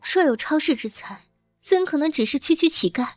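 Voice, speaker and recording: A woman's voice: high-pitched, animated, a little fast, no room sound, soft background music. A woman playing a proud, guarded young imperial princess in a Chinese period drama, haughty and curt. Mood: composed. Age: young adult.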